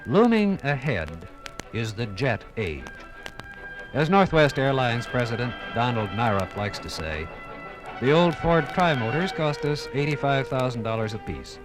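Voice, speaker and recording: An American man narrating a 1950s radio documentary in a theatrical, oratorical manner with dramatic pauses. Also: medium pitch, sing-song, low-quality recording. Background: music.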